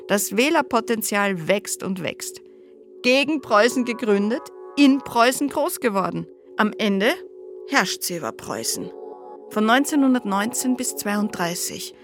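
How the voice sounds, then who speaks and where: A keen woman expert in a radio play. Her voice is fairly high, lecturing in emphatic short phrases, with music clearly playing behind. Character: keen woman expert explaining political history. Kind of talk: radio drama monologue, lecturing.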